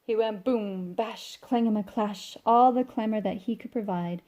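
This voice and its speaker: A woman reading a rhyming children's picture book aloud in an expressive, sing-song way. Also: mid-range voice, unhurried. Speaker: storybook reader.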